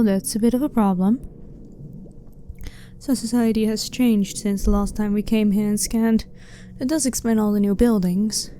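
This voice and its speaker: Mellow, blunt alien scientist voiced by a woman. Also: medium pitch, a little hiss or hum, close to an everyday voice. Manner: blunt, clinical, matter-of-fact, slightly formal. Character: blunt, curious female alien scientist, calm and not hostile. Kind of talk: ASMR roleplay, soft-spoken monologue to the listener.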